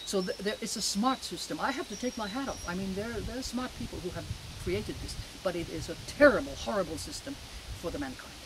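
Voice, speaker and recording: She is a woman in her seventies with a Finnish accent. She answers interview questions as personal testimony, leaning hard on words and breaking up her phrases. Clear outdoor noise sits behind her. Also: medium pitch, lively intonation, fast talker, few pauses. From Finland. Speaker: interview guest. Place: outdoors in a garden.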